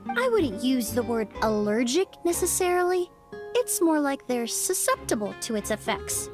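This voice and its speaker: An actress playing a composed, analytical young female investigator in a video game, explaining in a measured, precise way. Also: sits high, very animated, over music. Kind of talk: video game voice acting, expository.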